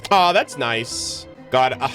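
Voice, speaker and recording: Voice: medium pitch, animated, takes it slowly, a bit bright. A game streamer giving casual, slangy, joking reaction commentary with frequent exclamations. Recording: stream commentary over faint game music.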